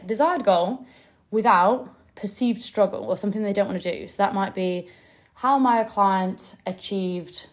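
Young woman coach, middle-pitched voice, chatty and direct. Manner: chatty and direct, with occasional laughs and mild swearing. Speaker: business coach and podcast host. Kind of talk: casual teaching podcast monologue.

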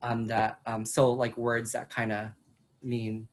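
A woman from the Philippines, a teacher whose first language is Chavacano, talks in an easy conversational way. Her voice sits very low for a woman. She fills pauses with ums and laughs often. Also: flat delivery. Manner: chatty, with frequent fillers and laughs. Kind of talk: casual conversation.

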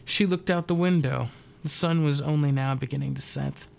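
A man narrating a scripted first-person dark comedy radio play in a deadpan, wry manner. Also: a little high, normal speed, vocal fry. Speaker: narrator and performer.

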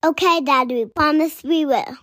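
Excitable, high-pitched little animal cub. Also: female voice, normal speed, no room sound, studio quality. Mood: uneasy. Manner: eager, childlike. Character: excitable young wild animal cubs.

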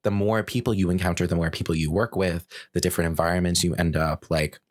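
Male podcast host, very low register, casual and reflective, dry studio-tight sound. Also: fairly even intonation, fast, no room sound. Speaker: podcast host and design researcher. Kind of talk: casual conversational chat.

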